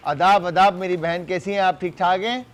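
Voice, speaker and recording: A man hosting a live TV cooking show, talking in an exclaiming, lively way with callers and guests. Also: high voice, normal speed. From Pakistan. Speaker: TV chef host. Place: TV studio kitchen.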